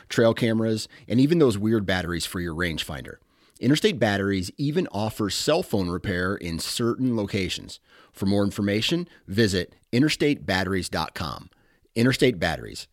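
A man reading a scripted radio-style ad in a smooth, salesy delivery. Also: on the low side, no echo, studio quality. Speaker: ad announcer. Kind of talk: scripted ad read.

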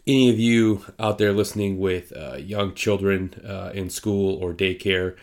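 Man, podcast host, congested, nasal, under the weather, halting, slightly low voice. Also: darkish tone. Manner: halting, many ums and uhs. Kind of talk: casual podcast intro and sponsor reads.

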